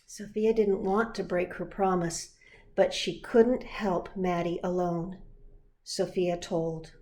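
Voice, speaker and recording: Slow, expressive woman storyteller. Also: lowish voice, a little hiss or hum. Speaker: pastor reading a children's book.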